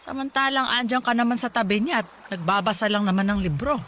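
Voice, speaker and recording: A woman's voice: on the high side, fast, fairly bright tone, a little hiss or hum, lightly acted. A woman playing a caring but scolding old grandmother in a Filipino radio drama, speaking with reproachful, pleading emphasis. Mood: chiding.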